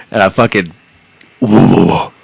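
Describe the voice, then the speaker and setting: A young man with a Texan accent, lower-pitched, telling a wild story to a friend and breaking into laughter. His voice leaps up in excitement, and the sound is dry and close to the mic, with no room around it. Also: creaky, slightly rough, no room sound. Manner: animated, laughing, swearing, voice jumps high when excited. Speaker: podcast co-host. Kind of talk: casual storytelling chat.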